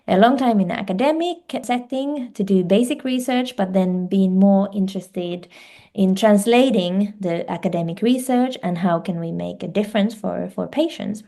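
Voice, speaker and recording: Mellow-voiced woman, hesitant. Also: mid-range voice, normal speed, gravelly. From Finland. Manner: fluent second-language speaker, hesitant fillers and restarts. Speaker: guest, life science director. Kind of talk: podcast interview.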